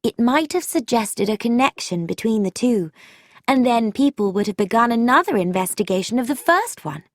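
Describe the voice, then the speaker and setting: A woman reading a story, voicing an eager, quick-witted young woman sleuth in a higher, livelier voice. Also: dry recording, studio quality, lightly acted. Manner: excited, insistent, animated.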